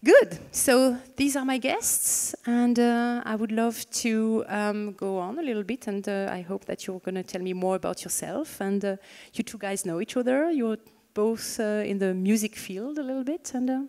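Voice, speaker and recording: A woman's voice: fairly high pitch, moderate pace, few pauses, a little mellow. French-accented woman moderating in a hall. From France. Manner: chatty, joking, hesitant with fillers. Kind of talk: stage fireside chat moderation. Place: conference stage in a large hall. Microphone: handheld microphone. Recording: reverberant hall sound.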